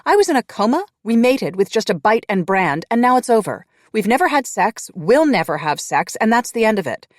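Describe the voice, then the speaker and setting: A narrator voicing a fiery, defiant young woman in an audiobook, speaking high and loud. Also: big pitch swings, brisk, hardly any pauses, no echo, studio quality. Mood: exasperated. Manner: high-pitched, loud, indignant.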